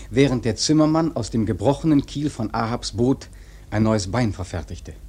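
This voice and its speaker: An actor playing a grave seafaring storyteller, narrating a radio drama in a husky, measured, literary way. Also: male voice, mid-range voice, darkish tone. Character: grave male seafaring storyteller recounting a whale hunt.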